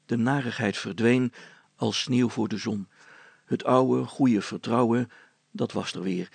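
A man narrating a children's story as an expressive storyteller. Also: mid-range voice, a little slow, gravelly, husky, dry recording.

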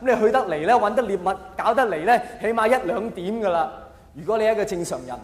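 A man with a Hong Kong accent performing a self-mocking, mock-earnest stand-up comedy monologue in an animated way. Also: high voice, fast talker. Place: large live venue with long reverb. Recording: echoey hall, faint noise.

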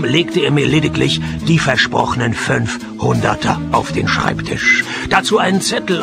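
Gravelly, wisecracking private eye. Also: male voice, mid-range voice. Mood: dry narration. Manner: deadpan, sardonic. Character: cynical, broke, wisecracking male private detective. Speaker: narrator and lead actor. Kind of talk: comic noir radio play.

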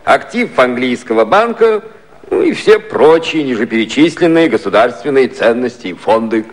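Formal, animated American envoy played by a man. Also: mid-range voice, normal speed, close to an everyday voice. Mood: firm, official. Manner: formal, firm, declamatory. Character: brisk, official American envoy, firm and formal. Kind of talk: satirical 1950s Soviet radio play acting.

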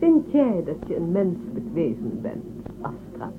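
An actress playing a haughty, probing woman official of a space empire in a radio drama, condescending and measured. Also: lowish voice, wildly swooping pitch, narrow, tinny audio. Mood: cool, superior. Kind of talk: Dutch radio drama acting. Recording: thin band-limited, noisy old radio recording.

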